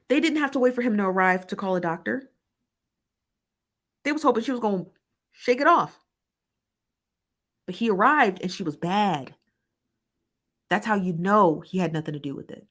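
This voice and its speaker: A woman hosting a commentary show, arguing her case with emphatic repetition and reading viewer comments. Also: mid-range voice. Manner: emphatic, repetitive, argumentative, with quick laughs.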